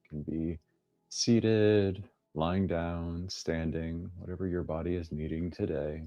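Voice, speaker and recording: Deep, slow-paced man guiding meditation. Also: fairly even intonation, lo-fi sound. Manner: unhurried phrasing with long pauses, gently inviting. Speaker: guided meditation teacher. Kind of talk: guided meditation, soft instructional narration.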